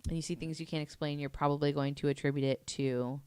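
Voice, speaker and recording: A woman's voice: deep voice, steady tone, a little mellow, clean tone, dry recording. A woman co-hosting a podcast in casual chat, laughing often, using lots of fillers, and reading from an article. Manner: reads article aloud haltingly. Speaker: podcast co-host presenting research.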